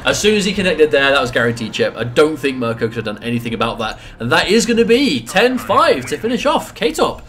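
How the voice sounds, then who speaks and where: A British man with a head cold and a congested voice, doing quick analytical commentary on a fighting game. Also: fairly high pitch, big pitch swings, a bit bright. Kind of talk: esports commentary.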